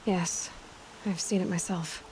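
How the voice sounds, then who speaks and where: A woman's voice: lowish voice, fairly even intonation, measured pace, darkish tone, slight background noise, close to an everyday voice, low-quality recording. A woman voicing a determined young huntress in a video game, direct, dry and confident. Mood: focused. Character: determined, self-assured young female huntress.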